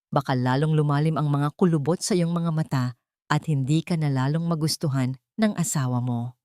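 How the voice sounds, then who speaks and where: A woman's voice: low voice, normal speed, dark tone, dry recording, studio quality, natural voice. A woman voicing a sly younger mistress who mocks a wife with sweetly insulting words in a drama story. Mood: falsely sweet, mocking.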